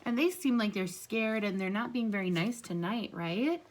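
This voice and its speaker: A woman with a medium-pitched voice reads a children's book aloud like a teacher. She speaks to young listeners in an encouraging manner and puts simple questions to them. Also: lively intonation, moderate pace.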